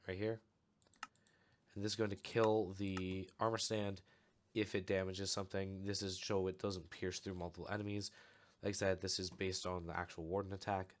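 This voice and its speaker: A young man recovering from a cold, calmly walking through a step-by-step game tutorial with a slightly off voice. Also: on the low side. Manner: relaxed, explanatory, steady walkthrough with fillers. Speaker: channel host giving a game tutorial. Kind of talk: casual screen-recorded tutorial.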